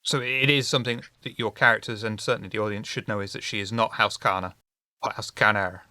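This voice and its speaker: A man's voice: fairly low pitch, steady tone, fast talker, pushed and bright, no echo, clean studio sound. A man running a tabletop chronicle, explaining game lore at length in casual podcast chat.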